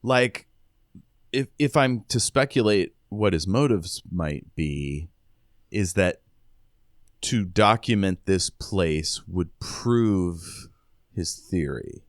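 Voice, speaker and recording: A man's voice: low voice, animated, slow, no echo, clean studio sound. A man co-hosting a podcast, discussing a book casually. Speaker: podcast co-host. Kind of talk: casual book discussion with short read passages.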